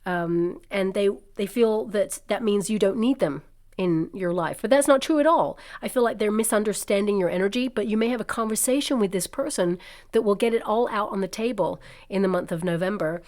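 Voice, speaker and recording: A woman talks through a tarot reading in a loose, chatty way, reassuring her listeners and filling the gaps with ums and asides. Her voice is a little croaky from a cold that is coming on. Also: mid-range voice, brisk, darkish tone. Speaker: tarot reader. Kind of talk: casual tarot reading to camera. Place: indoors at a table covered with cards and crystals.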